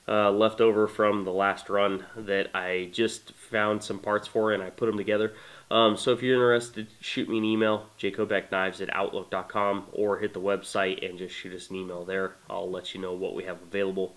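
A man in his thirties with a congested voice from a cold, casually and enthusiastically talking to camera about a knife he made, filled with "uh" and "you know", in a small indoor room. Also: deep voice. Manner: relaxed, enthusiastic, many fillers. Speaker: knife maker presenting his knife. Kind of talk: casual product talk to camera.

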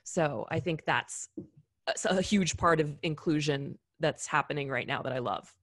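A woman's voice: low-pitched, moderate pace, fairly bright tone, no echo. A young woman with an American accent speaking articulately in a panel discussion, close to a desktop microphone. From United States. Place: indoors on a video call. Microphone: large desktop microphone with headphones.

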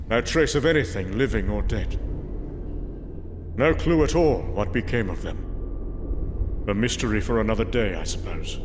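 A man's voice: medium pitch, background noise, close to an everyday voice. A man voicing a proud Norse thunder god from a comic book in a grand, theatrical, archaic manner. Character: boastful, mighty Norse thunder god, proud warrior storyteller. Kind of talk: dramatic comic book reading with narration.

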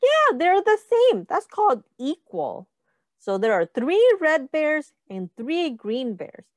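A woman with a high, lively voice reads to small children as their teacher. She takes it very slowly and deliberately, posing questions and counting along. The sound is close and dry. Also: no room sound. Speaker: teacher reading aloud to young children. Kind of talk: children's picture-book read-aloud and counting lesson.

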